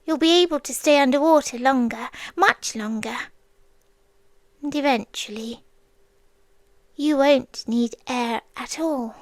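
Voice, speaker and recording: A British woman playing a possessive, childlike female sea demon in an ASMR roleplay, speaking in a soft, breathy, coaxing voice that often drops to a whisper. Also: high voice, slow, long pauses, no echo. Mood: tenderly reassuring. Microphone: close microphone, dry.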